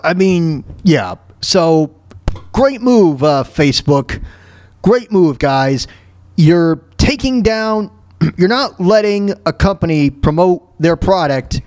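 A man with a high, very animated voice hosts a casual commentary show. He talks off the cuff in an exasperated manner, halting and doubling back on his phrases as his pitch jumps around. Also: no echo. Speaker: podcast host commenting on news clips.

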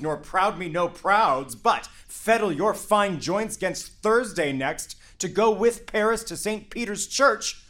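A man's voice: high voice, exaggerated voice. A man playing a furious, domineering noble father in a Shakespeare reading, blustering and commanding. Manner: blustering, commanding, rises to shouting rants.

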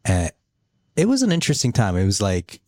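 Casting: middle-aged Polish-born man, deep voice, dry close sound, easygoing podcast chat. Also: brisk, dry recording. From Poland. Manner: relaxed, rambling, with casual swearing. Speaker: company co-founder.

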